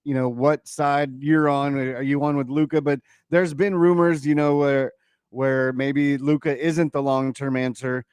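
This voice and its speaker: A man co-hosting a sports radio show, chatting casually and haltingly as he poses questions about the game. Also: mid-range voice, fairly even intonation, darkish tone, clean tone, dry recording. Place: radio studio.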